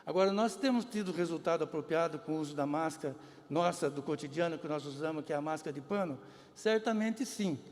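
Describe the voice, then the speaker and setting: A man, a health official, explaining in measured answers at a press conference. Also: a little high, a little mellow. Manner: explanatory, measured, occasional restarts. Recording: reverberant hall.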